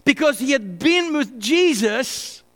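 High-voiced man preaching in an echoing hall. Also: animated, takes it slowly. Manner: emphatic, swings from loud bursts to hushed asides. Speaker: pastor. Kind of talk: sermon. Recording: reverberant hall.

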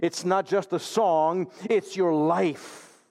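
High-voiced preaching man from Romania. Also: steady tone, a little mellow. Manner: earnest, emphatic, slows down for key phrases. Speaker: pastor. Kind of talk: sermon.

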